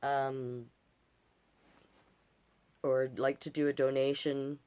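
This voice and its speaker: A woman with a low voice reads picture books aloud as a storytime host. She is animated and folksy, slipping easily from the story into chatty, encouraging remarks to her viewers. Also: slow, smooth.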